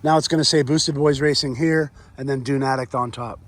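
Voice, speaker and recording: A man hosting his vlog with a hoarse, worn-out voice, chatting excitedly about a new trailer. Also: medium pitch, fairly quick. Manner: excited, enthusiastic, loose and chatty. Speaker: vlog host. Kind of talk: casual vlog narration. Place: outdoors at a trailer lot.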